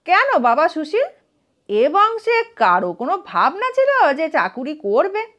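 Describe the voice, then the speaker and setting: A woman's voice: very high-pitched, huge pitch swings, a little slow, a bit bright, dull audio, full character voice. A woman storyteller voicing a proud, complacent landlord uncle of about seventy, with a leisurely, self-satisfied manner.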